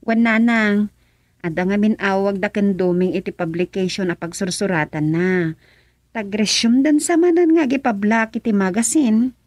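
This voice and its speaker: A woman's voice: medium pitch, normal speed, darkish tone, dry recording, clean studio sound, natural voice. A woman with an Ilocano accent playing an earnest, opinionated village daughter in a radio drama. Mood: explaining. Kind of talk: radio drama acting, conversational.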